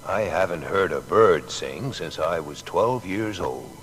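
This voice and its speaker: A self-taught inventor recalling his life in an audio biography. His voice is very low and unhurried, yet it moves with lively swings of pitch. He speaks plainly and with deliberate emphasis. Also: a little hiss or hum, exaggerated voice. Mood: reflective. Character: Thomas Edison, plain-spoken self-taught American inventor reminiscing. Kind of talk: dramatised first-person reminiscence.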